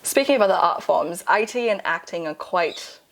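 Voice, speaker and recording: Lower-voiced woman hosting an interview. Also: lively intonation, moderate pace, vocal fry, rough recording. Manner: upbeat, scripted introduction then conversational questions. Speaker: show host.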